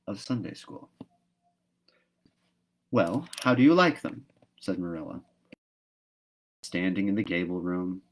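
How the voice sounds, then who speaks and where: A man's voice: low-pitched, darkish tone, rough recording. A man hosting a live read-aloud, moving between casual talk and storybook narration. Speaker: host reading a book aloud.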